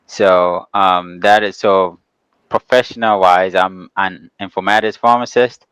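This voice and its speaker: Smooth, low-voiced man from Ghana. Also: fairly even intonation, measured pace, a bit bright, no echo, low-quality recording. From Ghana, later the Bronx, New York. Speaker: guest, real estate developer. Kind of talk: casual interview talk.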